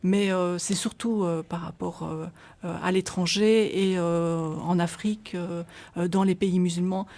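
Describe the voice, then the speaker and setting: A woman's voice: on the low side, steady tone, measured pace, a little mellow. A middle-aged woman, guest on a radio interview, explaining at length with many hesitations and restarts. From Belgium. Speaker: association volunteer guest.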